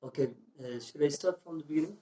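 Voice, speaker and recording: A man from Pakistan with a Pakistani accent, a school founder answering interview questions in earnest, non-native English, pausing often with fillers and restarts. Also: fairly high pitch, dark tone. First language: Urdu or a local language.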